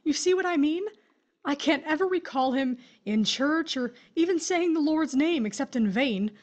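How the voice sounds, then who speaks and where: A woman's voice: high-pitched, lively intonation, moderate pace. A woman playing an exasperated, no-nonsense widow in an audio horror drama, blunt and skeptical.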